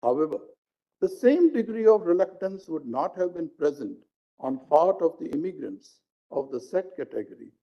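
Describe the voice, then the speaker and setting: A man with an Indian accent, a judge reading out a legal judgment in formal, deliberate phrasing. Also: on the high side, dark tone. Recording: dull lo-fi live stream audio.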